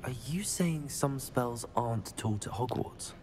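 A man playing a polite, inquisitive young new wizard student in a video game, measured in manner. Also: medium pitch, animated, a little mellow, soft background music, lightly acted. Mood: polite, curious. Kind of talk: video game cutscene acting.

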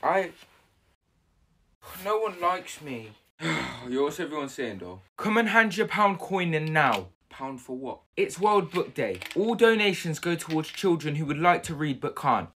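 A man's voice: on the high side, very animated, pushed and bright, slight background noise. Young man doing sketch voices. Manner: quick slangy banter, switching between characters. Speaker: comedy sketch creator. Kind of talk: sketch comedy playing all the parts. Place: school classrooms and corridors, indoors.

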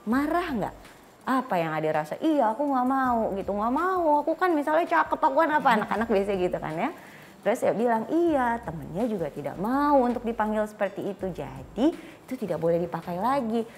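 A woman's voice: on the high side, big pitch swings, fairly quick, slight background noise. A woman in her thirties with an Indonesian accent, explaining as a guest expert in informal talk and acting out short dialogues. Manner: acts out short parent and child dialogues. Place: small furnished studio set, indoors. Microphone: clip-on lapel mic.

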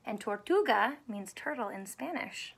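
A woman in her thirties with a high-pitched voice reads a picture book to young children. She takes it very slowly, stretching key words and putting questions to her listeners like an expressive teacher. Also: creaky, background noise. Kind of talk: animated read-aloud of a children's book. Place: small indoor room with couch and stuffed toys.

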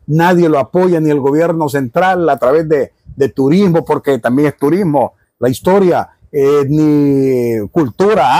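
A man's voice: on the high side, mellow, no echo. A middle-aged Honduran man with a Honduran Spanish accent, hosting an interview and speaking with emphasis. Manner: emphatic, rhetorical questions. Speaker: podcast host. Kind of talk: casual interview. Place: small cluttered study with bookshelves.

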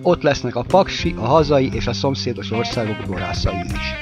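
A man narrates a festival report in a scripted, formal voice-over. His voice sits at an ordinary middle pitch. Loud live band music plays under him throughout. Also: brisk. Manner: steady, formal reading.

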